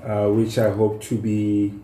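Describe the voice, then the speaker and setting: A man in his thirties with a South African accent, speaking casually and haltingly as an interview guest. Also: fairly low pitch, monotone, mellow. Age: 30s. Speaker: podcast guest. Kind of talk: casual self-introduction. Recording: echoey room, faint background noise.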